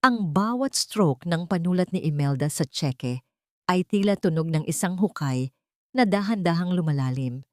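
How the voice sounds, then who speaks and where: A woman's voice: lowish voice, animated, normal speed, no room sound, studio quality. A woman narrating a scripted dramatic story in an audiobook style.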